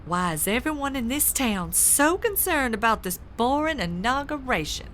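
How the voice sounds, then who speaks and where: A woman's voice: a little high, big pitch swings, normal speed, a bit bright, a little hiss or hum. A woman playing an amorous, bored politician's wife in a comedy audio drama, flirtatious and dismissive. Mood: pouty, dismissive.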